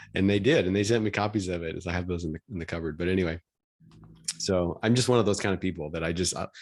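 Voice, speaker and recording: A man's voice: low voice, fast talker, pauses often. A middle-aged man from Washington State telling a story in a matter-of-fact, chatty way on a video call. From Washington State, USA.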